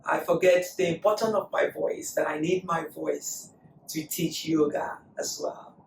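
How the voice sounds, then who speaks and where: A woman teaching a yoga class, calmly cueing breath and poses, her voice still recovering after being lost. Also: low-pitched, measured pace, vocal fry, a little hiss or hum. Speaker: yoga instructor. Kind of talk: guided yoga class instruction. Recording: echoey room, voice from a distance.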